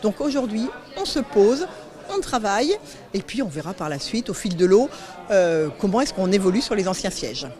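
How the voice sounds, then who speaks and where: Woman official, medium register, spontaneous interview delivery, short measured phrases, repeats words for emphasis. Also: mid-range voice, lively intonation, warm tone. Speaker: local official being interviewed.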